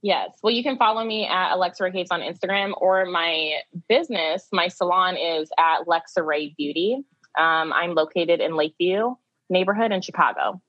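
A woman's voice: on the low side, moderate pace, a bit bright, no echo, highs cut off. A woman speaking casually as a guest on a podcast. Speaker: podcast guest, salon owner. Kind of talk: casual chat.

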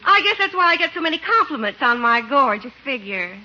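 1950s radio comedy, a gushy vain flirt, high voice that swoops around, very theatrical. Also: female voice, big pitch swings, brisk, bright, forceful tone, faint music behind, full character voice. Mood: gushing. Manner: gushing, breezy, theatrical. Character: gushing, vain, flirtatious visiting lady cousin. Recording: muffled 1950s radio recording.

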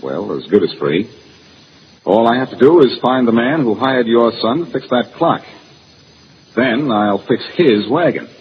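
Actor as brash, animated old-radio detective. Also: male voice, mid-range voice, close to an everyday voice. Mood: excited, confident. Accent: American. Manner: brisk, punchy, hard-boiled. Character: brash, wisecracking amateur detective, tough and confident. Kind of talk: 1940s radio detective drama acting. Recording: muffled, noisy old radio recording.

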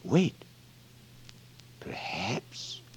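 A male actor in a 1940s radio drama playing an earnest, weary, reflective scientist with a deliberate manner. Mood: puzzled. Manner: deliberate, ruminative.

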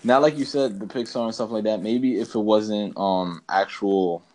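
Male podcast co-host, lower register, loose slangy banter, opinionated and jokey. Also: moderate pace, darkish tone. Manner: slangy, peppering talk with "bro" and "man". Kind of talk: casual movie chat, ranting and joking.

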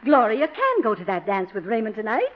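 Gushing, high-voiced astrology-mad matron. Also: female voice, huge pitch swings, a little fast. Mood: earnest, dreamy. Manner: gushing, coy, giggly, airy. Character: flirtatious, gushing middle-aged mother obsessed with astrology. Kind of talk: 1950s radio comedy acting. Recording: muffled, noisy 1950s radio recording.

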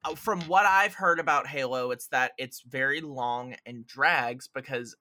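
A man talks as a guest on a casual podcast. His medium-pitched voice is strikingly bright and cutting, and he is emphatic and opinionated. The sound is close and dry. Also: a little slow, dry recording.

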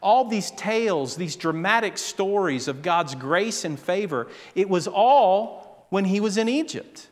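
A man preaching a sermon, speaking slowly and deliberately and acting out quoted voices with drama. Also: sits high, animated. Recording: long hall reverb.

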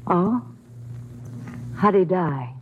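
Boozy noir woman, languid n mocking, pitch all over, faintly noisy old radio drama. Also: animated, drawn-out words. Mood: guarded, bitter. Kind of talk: 1950s radio crime drama acting. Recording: muffled vintage radio recording, faint noise.

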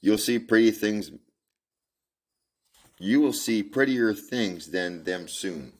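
A man reading the part of a weary frontier man, gentle with a child, in a folksy drawl. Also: sits low, normal speed, close to an everyday voice. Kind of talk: amateur audiobook reading.

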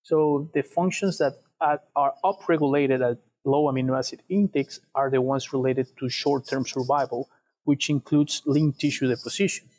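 Halting young man with an Ecuadorian accent. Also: medium pitch, fairly even intonation, a little mellow, low-quality recording. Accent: Ecuadorian Spanish. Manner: measured, halting, repeats words. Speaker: guest researcher, PhD student. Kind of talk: explaining research in an interview.

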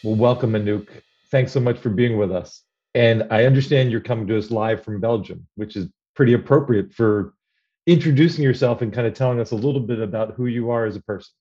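A man's voice: medium pitch, fairly quick, warm tone, muffled recording. A man hosting a podcast interview, talking conversationally and restarting his questions. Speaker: podcast host.

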